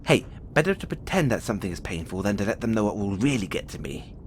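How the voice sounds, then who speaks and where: A man's voice: lowish voice, very animated, fast talker, slight background noise. A man voicing a playful, flirtatious male space demon who teases the listener in an audio roleplay. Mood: teasing, conspiratorial. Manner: playful, teasing, giggles often.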